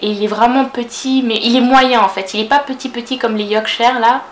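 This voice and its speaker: A woman's voice: a little high, steady tone, a little fast. Young woman, Parisian French accent, chatty vlogger, fillers and restarts, roomy echo. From France. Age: 20s. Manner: chatty, lots of fillers and self-corrections. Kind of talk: casual Q&A vlog.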